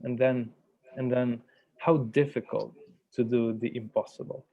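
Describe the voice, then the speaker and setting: Male facilitator, measured and deliberate, creaky voice, slightly dull online-call sound. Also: mid-range voice, fairly even intonation, dark tone. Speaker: workshop facilitator. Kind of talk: guided meditation and workshop facilitation. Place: virtual workshop over video call.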